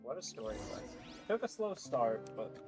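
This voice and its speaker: A man's voice: medium pitch, big pitch swings, normal speed, music in the background. A man giving casual live commentary as he streams a game, easygoing, with muttered asides.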